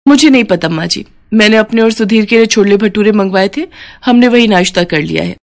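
A woman's voice: medium pitch, brisk, gravelly, natural voice. A woman voicing a young daughter-in-law in a cartoon story, polite but easily offended. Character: touchy young daughter-in-law, eldest of three.

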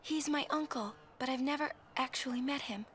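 A young woman playing a gentle, reserved summoner in a video game, speaking softly and hesitantly. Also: sits high, moderate pace, slight background noise. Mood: shy, reflective. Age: young adult. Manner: soft, hesitant, careful.

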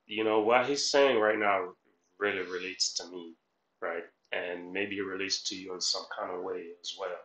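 Low-voiced man coaching in a roomy space. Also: steady tone, normal speed, fairly bright tone. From Haiti. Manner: repetitive, instructive, checking with "right?". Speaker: life coach, reaction host. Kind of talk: motivational commentary. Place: small room at home, indoors. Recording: roomy echo.

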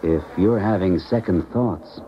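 Slightly noisy, muffled old radio sound frames a male scientist in a defection drama. His voice is low, with precise, educated wording. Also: unhurried. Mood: assured, precise. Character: cultured, uneasy male scientist helping a colleague defect. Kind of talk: 1970s American radio drama acting. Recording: muffled 1970s radio recording, faint noise.

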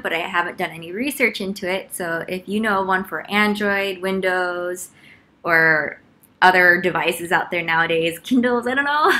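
A young woman with a bright, forward voice at an average pitch talks to camera in a living room. She explains things in a chatty, informal tutorial style, picked up by a laptop microphone at about arm's length. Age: young adult. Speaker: vlogger and teacher. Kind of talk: casual tutorial talk to camera. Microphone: laptop mic at about arm's length.

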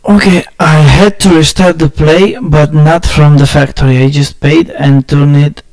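A man from Romania with a Romanian accent chatting casually with viewers on a game stream, halting and searching for words, often laughing at himself. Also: a little high, steady tone, darkish tone, poor audio. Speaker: gaming streamer.

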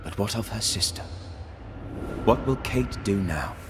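An actor playing a solemn storyteller in a video game, narrating gravely and deliberately with clear noise behind him. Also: male voice, expressive. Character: solemn male storyteller passing judgement on fates. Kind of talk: scripted dramatic game narration. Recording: clear background noise and rumbling ambience.

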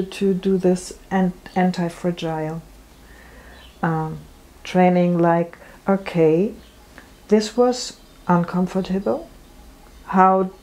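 Middle-aged female practitioner, earnest interviewee, warm low-ish tone. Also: low-quality recording. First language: German. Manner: earnest, explanatory, with frequent restarts. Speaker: natural medicine practitioner. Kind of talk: interview answers. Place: room with bookshelves.

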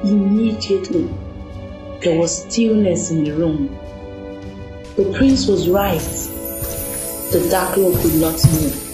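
A woman narrating an audio drama in a plain, steady storytelling manner. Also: on the low side, slow, pauses often. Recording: echoey room with music.

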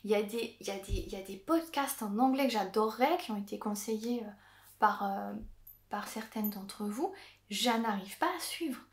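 A woman in her thirties chatting casually to camera as a knitting vlogger, with lots of hesitant filler pauses and easy laughter. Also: mid-range voice. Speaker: knitting podcaster. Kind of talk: casual vlog chat. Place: indoor craft room with yarn shelves. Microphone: camera on a desk, framed at chest. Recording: echoey room.

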